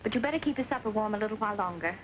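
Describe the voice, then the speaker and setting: A woman's voice: mid-range voice, lively intonation, very fast, natural voice. An actress playing a spirited young woman, an officer's daughter, in an old Western film. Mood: composed. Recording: muffled, noisy old film soundtrack.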